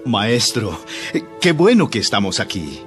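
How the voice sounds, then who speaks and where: A man playing an eager, impulsive disciple in a dramatized audio Bible, speaking excitably. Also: fairly low pitch, unhurried. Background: faint music.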